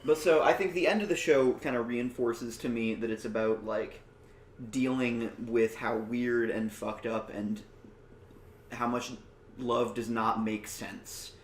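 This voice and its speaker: A man's voice: mid-range voice, steady tone, a little slow. A man in his early twenties discussing a film casually as a podcast host, explaining earnestly with casual swearing. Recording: echoey room, faint background noise.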